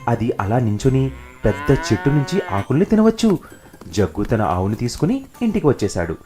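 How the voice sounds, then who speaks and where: Animated male storyteller over music. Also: mid-range voice, brisk. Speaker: story narrator. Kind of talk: scripted children's story narration. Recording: music behind the narration.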